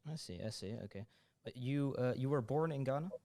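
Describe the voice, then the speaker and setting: A man's voice: mid-range voice, animated, a little fast, darkish tone. A man hosting a student podcast interview, casual and hesitant, with lots of "like" and "uh". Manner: hesitant, many "like" and "uh" fillers.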